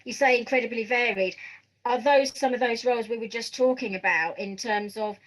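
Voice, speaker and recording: A woman hosting a conversational podcast interview, putting her questions in a softened, tentative way. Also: a little high, a little fast, low-quality recording.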